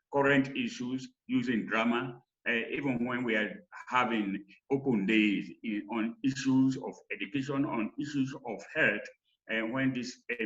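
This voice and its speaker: A man from Sierra Leone with a Sierra Leonean accent, presenting recommendations in a halting, emphatic lecture style over lo-fi call audio. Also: mid-range voice, bright, forceful tone. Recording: dull, lo-fi online call audio.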